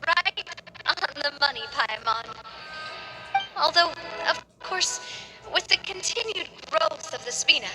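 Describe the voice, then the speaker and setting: A woman's voice: high voice, animated, slow, rough recording. A woman playing a confident, playful young female leader in an anime game, with a breezy, assured manner. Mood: warm, assured. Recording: music behind the voice.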